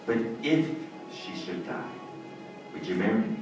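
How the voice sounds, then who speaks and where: A man acts a scheming judge in an old radio melodrama. His low voice swings expressively with cold-blooded earnestness, heard from far off in a very echoey hall. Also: very animated, measured pace, over music. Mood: earnest, urgent. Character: scheming, cold-blooded married male judge confessing murder. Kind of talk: old-time radio acting and narration.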